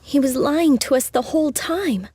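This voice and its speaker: An actress playing a gentle, earnest, anxious young heroine with a hesitant manner in a video game cutscene. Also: female voice, high voice, expressive, normal speed, no room sound. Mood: worried.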